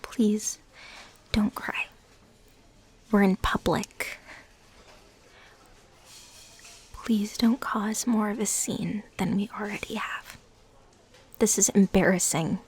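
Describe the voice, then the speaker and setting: A woman playing a lovesick, bitter ex-stalker fighting her obsession, in an emotional, halting audio roleplay monologue. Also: medium pitch, breathy edge. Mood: subdued, pained. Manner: halting, emotional, swinging between hushed whispers and outbursts.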